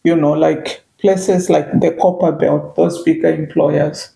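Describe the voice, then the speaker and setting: Gravelly low-voiced Zambian woman. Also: fairly even intonation, measured pace, dark tone. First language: Bemba. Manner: reflective, circling phrasing with frequent "you know". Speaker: guest. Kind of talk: casual interview chat. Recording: echoey room.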